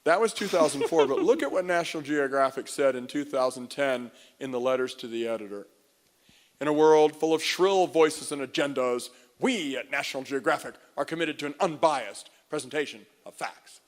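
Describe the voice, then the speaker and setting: An earnest man gives a creationist lecture, heard as a clip played on a show. He reads quotations aloud at a middle pitch, in a steady lecturing tone. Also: normal speed. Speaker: creationist speaker.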